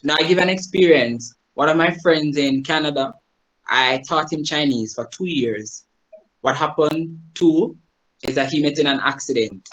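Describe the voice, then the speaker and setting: A man's voice: fairly high pitch, normal speed, fairly bright tone, lo-fi sound. A Jamaican man, a Chinese teacher, explaining things to a group on a video call and often checking in with "right?". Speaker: guest presenter, Chinese teacher. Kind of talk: online presentation to a group.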